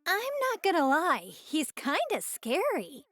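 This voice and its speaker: A woman's voice: high-pitched, huge pitch swings, slow pace, fairly bright tone, no room sound, clean studio sound, full character voice. A woman voicing a spunky, outspoken young woman in an anime video game, girlish and candid. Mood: uneasy. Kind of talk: animated game voice acting.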